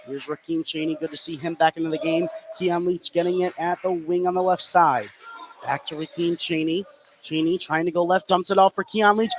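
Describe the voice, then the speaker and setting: Higher-voiced man calling basketball over noise. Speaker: play-by-play sports commentator. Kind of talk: live basketball play-by-play commentary. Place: indoor basketball gym at a tournament. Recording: noisy gym behind live broadcast.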